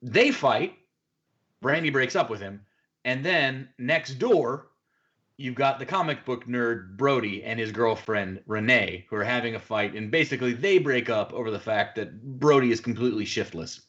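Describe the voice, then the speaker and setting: A man's voice: medium pitch, normal speed, fairly bright tone, muffled recording. A man hosting a podcast, recapping a film in casual, chatty, wordy banter. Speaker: podcast host.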